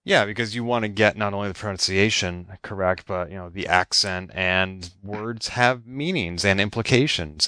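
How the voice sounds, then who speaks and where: Podcast host, male, from Boston; measured questions, bright tone, low-ish pitch. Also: no echo. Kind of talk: interview conversation.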